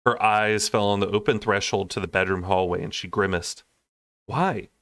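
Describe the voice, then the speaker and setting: A young man reads a story aloud. His voice sits a little low. He narrates expressively in audiobook style, and the recording is dry. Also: flat delivery, fairly quick, a bit bright, dry recording. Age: young adult. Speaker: storyteller reading a novel aloud.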